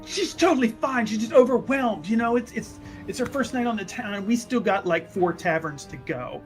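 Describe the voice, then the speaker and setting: A high-pitched voice pushes and hypes the group as a brash party ringleader acted by a man at a game table. He is cocky and bossy, with casual swearing in a tabletop fantasy game over faint music. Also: expressive, normal speed. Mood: breezy, upbeat. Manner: pushy, hyping, casual swearing.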